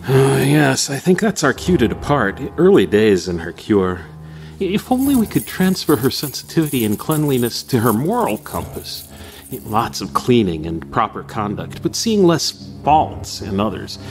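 An actor playing a pompous, nervously deluded asylum doctor in a gothic radio drama, theatrical and jittery. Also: male voice, mid-range voice, sing-song, moderate pace. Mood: fussy, condescending. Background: music under the voice.

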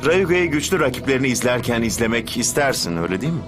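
An actor playing a scheming, smooth adult villain in a Turkish-dubbed anime, speaking in a measured, condescending way. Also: male voice, mid-range voice, very animated, close to an everyday voice. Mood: smooth, placating. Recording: music behind the voice.

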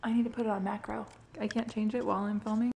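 A woman's voice: medium pitch, fast, gravelly, roomy sound, slight background noise. A young woman chatting casually and laughing a lot while filming at home on a handheld camera. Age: young adult. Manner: giggly, chatty, excitable. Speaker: vlog co-host. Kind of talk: casual chat. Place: home kitchen and living room, indoors.

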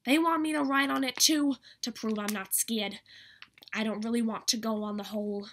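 A woman's voice: fairly high pitch, steady tone, normal speed, fairly bright tone, a little hiss or hum. A teenage girl voicing an annoying young child who recites a stage monologue emphatically. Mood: whiny, insistent.

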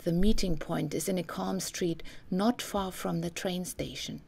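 A woman narrating a scripted documentary story in a measured, carefully enunciated way. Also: on the low side, steady tone, vocal fry, darkish tone, breathy edge.

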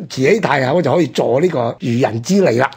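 A male radio co-host with a Hong Kong Cantonese accent and a husky voice, telling history in an animated, conversational way. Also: mid-range voice, a little fast.

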